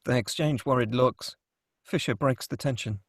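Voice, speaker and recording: A man with a husky voice reading scripted screenplay action as the narrator of a table read. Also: mid-range voice, moderate pace, no room sound, studio quality.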